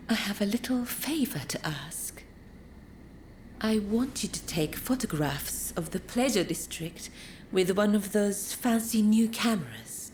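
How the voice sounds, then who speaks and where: An actress playing a refined, knowing hostess of a pleasure district in a video game, speaking politely and teasingly. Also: female voice, medium pitch, a little mellow, a little hiss or hum. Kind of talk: video game cutscene acting.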